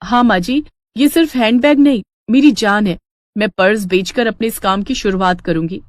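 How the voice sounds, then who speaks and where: A woman's voice: medium pitch, normal speed, dry recording, close to an everyday voice. A woman voicing an earnest young daughter-in-law in a moral story, emotive in manner. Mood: determined. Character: determined young daughter-in-law, hopeful purse maker.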